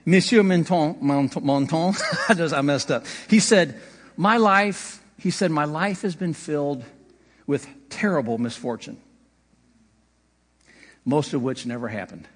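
A man's voice: on the high side, animated, a little slow, breathy edge. A man preaching a sermon as pastor, conversational, with occasional slips and light laughter. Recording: long hall reverb.

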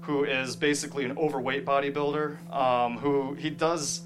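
Halting man over guitar music. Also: mid-range voice, flat delivery, low-quality recording. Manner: halting, searching for words. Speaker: screenwriter being interviewed. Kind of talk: casual, thoughtful interview talk.

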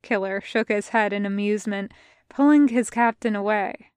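A young woman reading fan fiction aloud in a soft, measured narration. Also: mid-range voice, smooth, no room sound, studio quality. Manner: soft, measured, hushed in tender passages. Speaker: podfic reader.

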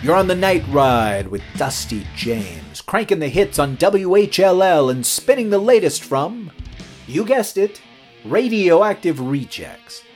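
A young late-night radio DJ, eager and a little smitten, in an audio drama. His pitch moves around a lot as he talks in loose, slangy phrases. Faint music sits behind him. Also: very animated, a little slow. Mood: upbeat on-air patter. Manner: casual, slangy, talkative.

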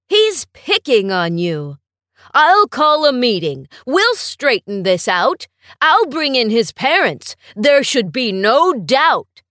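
A woman's voice: fairly high pitch, a bit bright, no echo, clean studio sound, exaggerated voice. A woman voicing a stern but caring male school principal who speaks firmly and reassuringly. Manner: firm, reassuring.

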